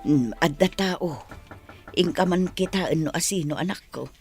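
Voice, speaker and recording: A woman's voice: on the low side, moderate pace, darkish tone, soft background music, close to an everyday voice. An actress with a Filipino accent playing a worried, plaintive mother of a grown daughter in a radio drama. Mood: anxious.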